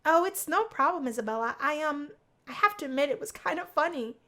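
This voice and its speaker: Woman as bookish college girl, polite, shy, helpful, fairly high pitch, visual novel. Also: animated, a little fast, a bit bright. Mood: shy, friendly. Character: earnest, helpful, bookish young female college student. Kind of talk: visual novel first-person character.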